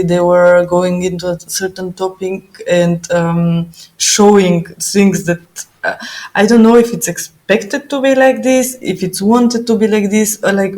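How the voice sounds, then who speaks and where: A woman's voice: lowish voice, darkish tone. A young woman from Bulgaria being interviewed on a podcast, speaking with many fillers and restarts and laughing easily. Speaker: podcast guest. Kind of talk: casual interview talk. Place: living in Germany, remote call.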